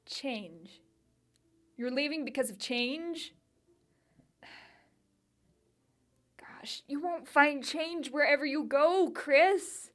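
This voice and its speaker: A woman's voice: high voice, extremely slow, pauses often, a bit bright, full character voice. A woman playing an exasperated, tough-loving friend in a naturalistic dramatic monologue, blunt and reproachful. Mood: hurt disbelief. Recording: music bed behind voice.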